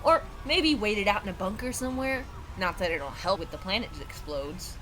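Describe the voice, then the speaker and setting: A woman's voice: mid-range voice, lively intonation, normal speed, lightly acted, rough recording. A woman playing an impatient, sarcastic city evacuee in a sci-fi audio drama. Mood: exasperated. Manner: exasperated, sarcastic. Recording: clear ambient background noise.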